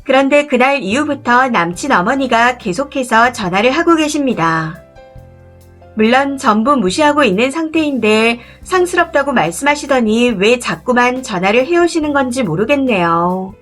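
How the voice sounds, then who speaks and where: A woman narrating a first-person story in a calm, even scripted read. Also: on the high side, normal speed. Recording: soft music behind the voice. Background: faint background music.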